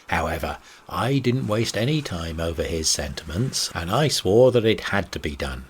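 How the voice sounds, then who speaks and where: A man's voice: low voice, big pitch swings, normal speed, a little hiss or hum. A man narrating a bedtime audiobook, telling an old seafaring yarn in the first person. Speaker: story reader.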